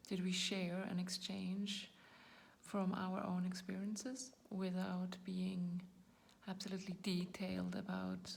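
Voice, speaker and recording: A woman's voice: fairly low pitch, completely flat delivery, takes it slowly, vocal fry. A woman in her forties, not a native English speaker, hosting her own channel and talking reflectively to camera, searching for words and often dropping into a whisper. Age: 40s. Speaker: YouTube channel host. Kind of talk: personal talk to camera.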